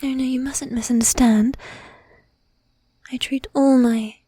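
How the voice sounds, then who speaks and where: Woman acting a predatory lady vampire, whispery and breathy, high-pitched, bossy, sweetly menacing, teasing formal manner, close-miked ASMR roleplay. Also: steady tone, warm tone, no room sound. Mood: silky, possessive. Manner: coaxing, affected old-fashioned formality, drawn-out teasing. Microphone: close ASMR microphone.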